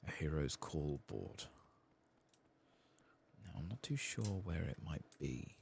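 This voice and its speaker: A man giving soft-spoken, sometimes whispered ASMR commentary on a game, murmuring to himself and reading text aloud. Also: very deep voice, expressive, slow, gravelly, a little hiss or hum. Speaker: ASMR gaming channel host.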